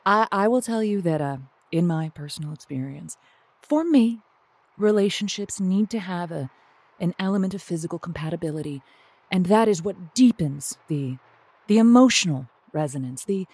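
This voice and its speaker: A woman plays a supportive, frank agony aunt hosting a comedy call-in. Her voice is on the low side and recorded very dry. She feels her way through earnest advice with folksy turns of phrase. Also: expressive, moderate pace, a little mellow, slightly rough, no room sound. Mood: sympathetic. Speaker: advice show host. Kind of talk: improvised comedy advice chat.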